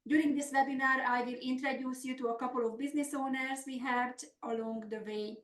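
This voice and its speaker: A middle-aged woman with a Hungarian accent presents a business coaching webinar, sounding earnest and upbeat as she works through steady lists of points. Her voice sits a little high, and the echoey room carries it. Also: fairly quick. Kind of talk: semi-scripted webinar presentation.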